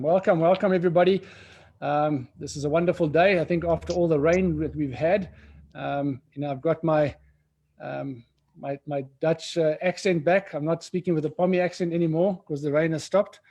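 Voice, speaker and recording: A man's voice: fairly high pitch, fairly even intonation, moderate pace, a little mellow. A man co-hosting an immigration livestream in a casual, rambling way, full of fillers and restarts. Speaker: co-host of an immigration webinar.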